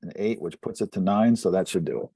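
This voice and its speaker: A man plays a tabletop game and talks casually through his turns. His voice is on the low side, dark and mellow, recorded dry and close to echo-free. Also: a little fast, vocal fry, slightly rough.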